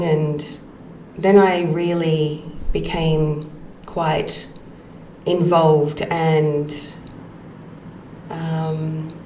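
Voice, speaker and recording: Woman podcast host, middle-aged, low gravelly voice, unhurried personal storytelling in an echoey room. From Australia. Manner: slow, reflective, with frequent ums and soft laughs. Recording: echoey room with faint background noise.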